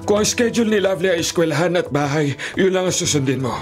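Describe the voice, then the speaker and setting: A man's voice: on the high side, expressive, fast talker, close to an everyday voice. Man acting firm middle-aged mayor, music behind. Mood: stern. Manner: firm, authoritative. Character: strict middle-aged town mayor and protective father. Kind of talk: Filipino radio drama acting. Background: background music.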